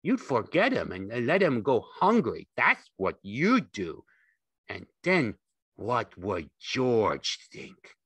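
A man's voice: mid-range voice, very animated, takes it slowly, fairly bright tone, no room sound, voice pushed up, exaggerated voice. A man voicing a gigantic imaginary rabbit in an audiobook, scornful and cruelly taunting in coarse speech.

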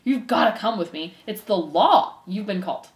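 A woman's voice: medium pitch, animated, moderate pace, reverberant, natural voice. A woman voicing an impatient young male mouse in a storybook, emphatic and bossy. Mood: exasperated.

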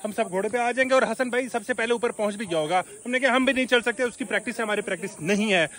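A young man filming himself at arm's length on a mountain trail, joking excitably and often out of breath. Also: high-pitched, fast talker. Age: young adult. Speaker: travel vlogger. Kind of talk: casual travel vlog. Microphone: handheld phone camera at arm's length.

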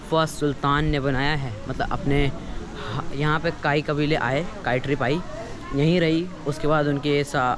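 A young man walking a village street, chatting to his viewers as a travel vlogger. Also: a little high. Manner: chatty, addresses viewers as friends, mixes English words in. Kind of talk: casual walking-tour vlog. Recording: street crowd chatter behind.

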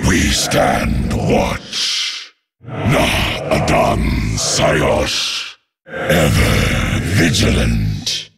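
Solemn alien shadow warrior for a game, super gravelly growl, huge swings in pitch, stern and formal. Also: male voice, exaggerated voice. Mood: resolute, vigilant. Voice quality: gravelly, very deep. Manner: solemn, formal, slow proclamations. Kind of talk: video game unit voice lines. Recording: music under the voice.

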